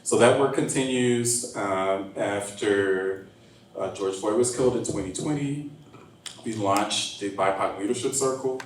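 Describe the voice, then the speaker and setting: A man speaking on a panel in a measured, articulate and earnest way. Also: lowish voice. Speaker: creative producer, organizer. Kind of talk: panel discussion. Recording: echoey hall, distant mic, faint hiss.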